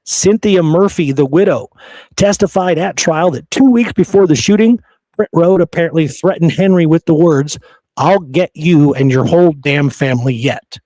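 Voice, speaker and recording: A man with a fairly high voice hosts a history show in a very dry, close room sound. He works through researched notes as if chatting, slipping in casual, amused asides. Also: a little mellow, dry recording, rough recording. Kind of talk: conversational storytelling from researched notes.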